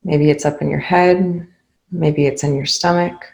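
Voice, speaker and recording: Low, even-voiced woman guiding meditation. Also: creaky. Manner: calm, unhurried, with long pauses. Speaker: meditation guide. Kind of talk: guided meditation, spoken softly to listeners.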